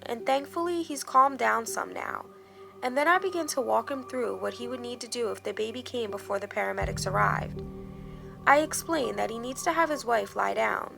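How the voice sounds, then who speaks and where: A woman reads a scripted dramatic story over clear background music. Her voice sits fairly high and has a marked creak. She tells it in a measured, expressive way. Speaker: horror story narrator. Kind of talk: scripted dramatic first-person narration.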